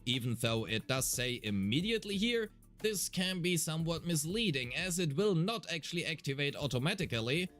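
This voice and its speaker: A man's voice: a little high, a bit bright. A man reading scripted explanatory narration as a game guide, detailed and methodical with a mildly wry touch.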